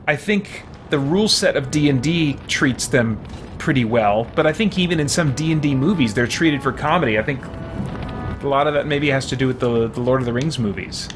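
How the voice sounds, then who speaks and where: A middle-aged man doing casual gameplay commentary, thinking aloud in a hesitant, musing way. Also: fairly high pitch. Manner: hesitant, musing, mutters to himself. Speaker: game streamer.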